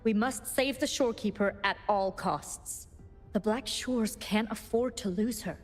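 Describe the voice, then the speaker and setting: A woman's voice: medium pitch, unhurried, faint music behind, lightly acted. An actress playing an earnest, commanding woman scientist leading a research team in a video game, speaking articulately. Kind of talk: scripted video game voice acting.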